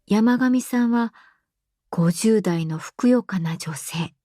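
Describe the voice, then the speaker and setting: A woman's voice: medium pitch, slow, warm tone, dry recording. A woman performing a radio drama monologue as a dry, reflective travel agency employee of 38. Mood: wry, reflective.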